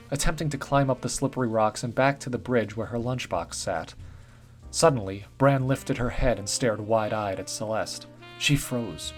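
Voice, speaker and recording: A man narrating a written story in a steady, even storytelling read. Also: medium pitch. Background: faint guitar music.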